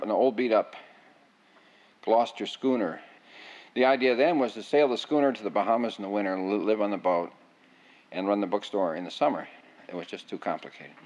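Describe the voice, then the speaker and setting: A man's voice: lowish voice, darkish tone. An older man reminiscing in an oral history interview, rambling, with frequent restarts. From United States. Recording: dull lo-fi sound.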